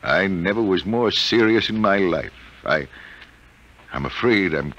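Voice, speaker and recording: An actor playing a troubled, absent-minded psychology professor, irritable yet apologetic, in a 1950s radio drama. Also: male voice, low voice, animated, unhurried, fairly bright tone, exaggerated voice. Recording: dull vintage 1950 radio recording.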